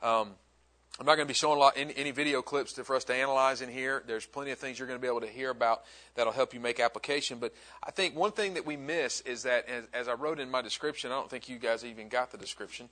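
Bright-voiced chatty male instructor. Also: medium pitch, fast talker. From American South. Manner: chatty, friendly, rambling asides. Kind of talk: classroom talk. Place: classroom.

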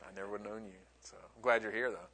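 A middle-aged Southern-raised man leads a classroom session in a bright, forward voice. He talks in a loose, friendly way and drifts into side stories with his students. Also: lowish voice, steady tone, rapid-fire. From American South. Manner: chatty, friendly, rambling asides. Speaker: instructor. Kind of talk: classroom talk.

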